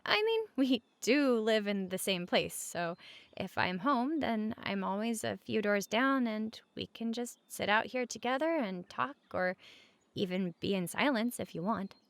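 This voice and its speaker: Friendly, reassuring female roommate, played by a woman for comfort audio: casual, gentle, frequent vocal fry, very dry close sound. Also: mid-range voice, expressive, unhurried, no echo. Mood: reassuring, caring. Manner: casual, gentle, reassuring, speaking at regular volume. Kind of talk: conversational comfort audio roleplay.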